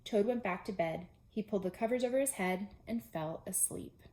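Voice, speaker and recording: A woman's voice: mid-range voice, moderate pace, a little mellow. Woman reading a storybook to young children. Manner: addresses children directly with simple explanations. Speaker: preschool teacher.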